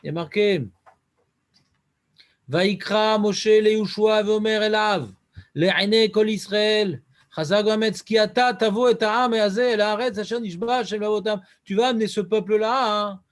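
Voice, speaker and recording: Rabbi, man, high voice, lively questioning teacher, quotes. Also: measured pace. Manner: lively, questioning, quotes Hebrew verses in a chant-like cadence. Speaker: rabbi teaching a Talmud class. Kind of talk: Talmud lesson.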